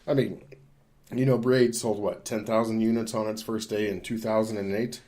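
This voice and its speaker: A man co-hosting a podcast, talking casually in a measured, halting way with pauses. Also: lowish voice, steady tone, dark tone. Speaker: podcast co-host. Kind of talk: casual discussion of a film and games.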